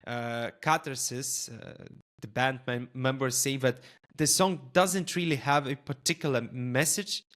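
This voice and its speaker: A man whose first language is Lithuanian hosts a casual podcast interview at a middle pitch. He talks thoughtfully and pauses often with filler sounds while he forms his questions. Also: fairly even intonation, a bit bright. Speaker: podcast host.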